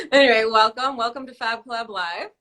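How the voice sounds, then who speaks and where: A woman's voice: a little high, fairly bright tone. A young woman from Canada hosting a casual live-stream interview, teasing her guest and laughing often. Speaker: host.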